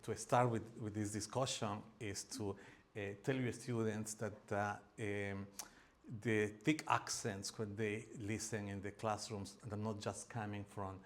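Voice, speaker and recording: Middle-aged man, Peruvian accent, slightly low voice, halting academic seminar delivery with frequent fillers. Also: little pitch movement. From Peru. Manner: hesitant, many fillers and restarts. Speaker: academic presenter. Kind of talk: seminar presentation.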